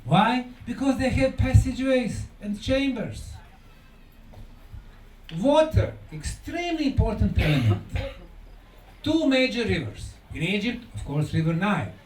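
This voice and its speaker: Male lecturer, high swooping voice, emphatic and deliberate, recorded in an echoey room. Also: very animated, a little mellow. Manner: emphatic, deliberate, repeats key words for effect. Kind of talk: conference lecture. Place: conference hall lecture. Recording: echoey room, distant mic, faint noise.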